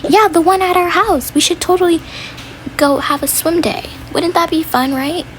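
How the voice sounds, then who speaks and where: A woman voicing a whiny, stubborn young daughter who pleads excitedly in an amateur family role play. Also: extremely high pitch, voice pushed up. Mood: eager. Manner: whining, drawn-out pleading. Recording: noisy home recording.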